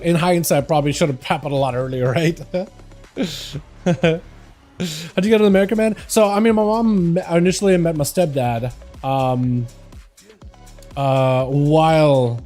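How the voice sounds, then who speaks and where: A man's voice: on the high side, a little slow, long pauses, dark tone, soft background music. A young man with a slight Russian accent, a streamer chatting casually while gaming, rambling and filling his talk with "like". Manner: rambling, chatty, answers chat questions, frequent "like" and laughs.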